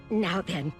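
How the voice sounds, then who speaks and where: An actress playing a kindly, gracious elderly fairy godmother in a video game, gentle and polite. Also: on the low side.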